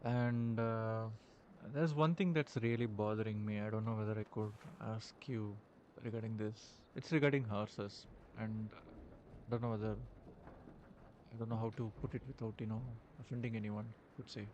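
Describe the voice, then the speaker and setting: Halting Indian-accented man. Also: lowish voice, steady tone, a little mellow, highs cut off, slight background noise. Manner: hesitant, halting, many fillers. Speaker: roleplay streamer. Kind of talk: in-character roleplay chat.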